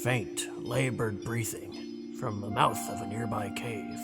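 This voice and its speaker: An actor in an audio drama playing a gruff old dragon-slaying veteran, defensive and blustery, with a gravelly, rambling delivery. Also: male voice, on the low side, fairly even intonation, slow. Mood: haunted, weary. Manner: blustery, rambling storytelling, stammers when challenged. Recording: reverberant hall with music behind.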